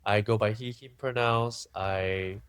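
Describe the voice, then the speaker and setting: A young man from Singapore, talking candidly and thoughtfully as a podcast guest, often pausing and restarting. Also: on the low side, fairly even intonation, a bit bright, clear, resonant tone, no room sound. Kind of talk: candid conversational interview.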